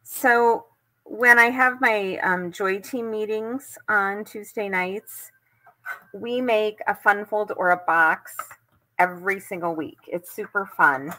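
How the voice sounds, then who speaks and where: Older woman, American accent, bright voice, casual craft demo, chatty host. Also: mid-range voice, slow, poor audio. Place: indoors at home.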